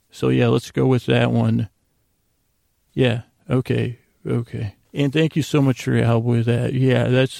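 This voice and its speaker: A man with a heavily gravelly, creaky voice at an ordinary pitch, recorded close and dry. He hosts a sleep podcast, wandering through whimsical asides and often breaking off to restart his thought. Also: a little mellow, dry recording. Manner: meandering, halting, self-interrupting, whimsical. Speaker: podcast host. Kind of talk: rambling bedtime sleep podcast monologue.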